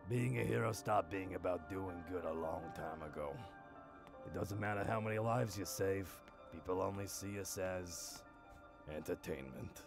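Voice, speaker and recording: A man voices a jaded older superhero in a cartoon parody, low and gravelly. He is gruff and bitter, given to theatrical outbursts, with music behind him. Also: moderate pace. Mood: weary, resigned. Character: worn-out, bitter, foul-mouthed older male superhero. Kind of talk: animated parody dub.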